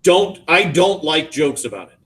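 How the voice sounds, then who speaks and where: A man voicing an anguished, weary middle-aged father in audiobook dialogue, speaking haltingly and with emphasis. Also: a little high, expressive, fairly bright tone, pitched above the performer's own voice. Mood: stern.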